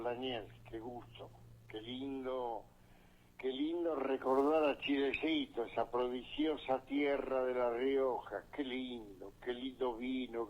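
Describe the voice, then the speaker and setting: A man's voice: medium pitch, a little hiss or hum. A man in his eighties from Uruguay, a sports journalist reminiscing in a radio interview, lingering over his words and repeating phrases. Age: 80s. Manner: slow, savoring, repeats phrases warmly. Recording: dull lo-fi archived radio interview.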